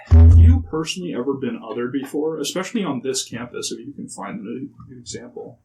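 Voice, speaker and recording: A young man asking semi-formal interview questions in a halting, hesitant way. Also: medium pitch, expressive. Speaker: podcast interviewer. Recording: distant mic, noisy room ambience.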